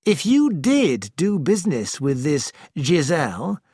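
Steady young gentleman, quietly firm and reasoning, voiced by a woman reader, lifted a little above her narrating voice, lively in pitch, unhurried, in a dry, close recording. The figure speaks from an audiobook, cool and patient. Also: slightly rough, dry recording, full character voice. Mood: cool, reproachful. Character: calm, steady young English lord, patient husband.

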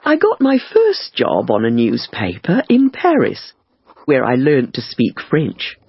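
A man reading a first-person life story slowly and carefully for language learners, on a dull lo-fi recording. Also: medium pitch, huge pitch swings, no room sound. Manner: slow, careful, clearly paced reading. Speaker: audiobook reader.

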